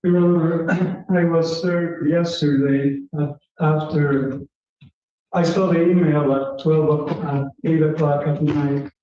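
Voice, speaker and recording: Slow flat-voiced male litigant. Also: on the high side. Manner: slow, halting. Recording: echoey, muffled courtroom audio.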